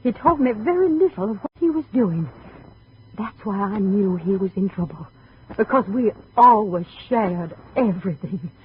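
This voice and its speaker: A woman's voice: mid-range voice, lively intonation, normal speed, lightly acted. A woman playing an anxious, possessive middle-aged mother, fretful and insistent, in 1950s radio drama style. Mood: agitated, insistent. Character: possessive, anxious, self-pitying wealthy mother of grown son. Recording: dull, noisy 1950 radio recording.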